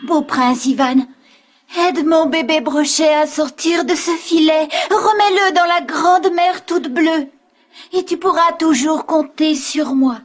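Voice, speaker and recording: A woman's voice: high-pitched, takes it slowly, soft background music. A woman voicing tender, anxious animal mothers in a fairy-tale cartoon, imploring in formal pleas. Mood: pleading.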